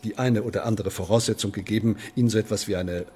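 An actor playing a menacing, sardonic Elizabethan English sheriff in a German radio drama, officious and mock-polite, with deliberate emphasis. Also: male voice, lowish voice, fairly even intonation, dark tone, a little hiss or hum, lightly acted. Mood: officious pressing.

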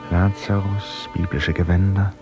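An actor playing a haunted, introspective river traveller in a radio drama, speaking in deliberate, brooding, measured phrases. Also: male voice, deep voice, little pitch movement, dull audio, over music. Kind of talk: German radio drama interior monologue.

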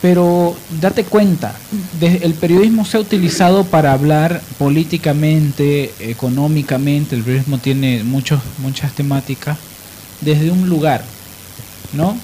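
Higher-pitched Bolivian man hosting radio, noisy. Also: measured pace, dark tone. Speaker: radio host. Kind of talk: radio discussion and biographical narration.

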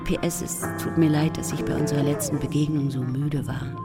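A woman's voice: deep voice, steady tone, fairly quick. An actress playing a wistful, affectionate old friend, reading her letter reflectively in a radio drama. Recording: loud music under the voice.

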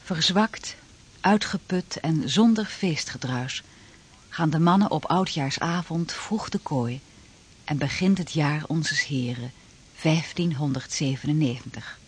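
A man's voice: a little high, a little slow, a little hiss or hum. A man narrating a radio drama from a script, telling the story in a dramatic style.

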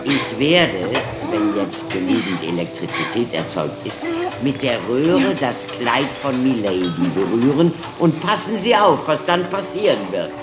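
A man's voice: medium pitch, very animated, a little slow, music in the background. Theatrical showman doctor, wide-swinging delivery. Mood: showy, eager. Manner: grandiloquent, theatrical, formally courteous. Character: showman doctor giving electricity demonstrations, courteous, theatrical, persuasive. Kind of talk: German radio drama acting. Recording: echoey hall with audience murmur.

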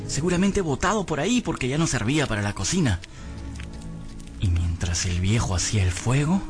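An actor playing a poor, hungry servant boy who tells his misfortunes in a plaintive, theatrical way for a radio drama. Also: mid-range voice. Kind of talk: dramatic first-person radio narration. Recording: music bed behind voice.

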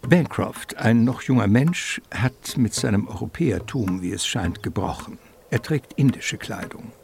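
Low, creaky male radio play narrator. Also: animated, measured pace, a little mellow, slight background noise.

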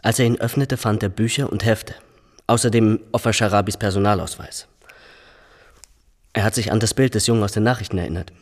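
An actor in a German radio drama playing a matter-of-fact, methodical police detective who reasons in a measured, factual way. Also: male voice, on the low side, in a large hall. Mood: factual, firm.

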